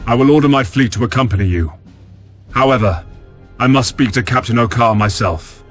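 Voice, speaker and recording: An actor playing a resolute, dutiful space navy admiral in a video game, with a firm and formal military delivery. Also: male voice, medium pitch, fairly quick, close to an everyday voice. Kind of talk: video game cutscene acting. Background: music.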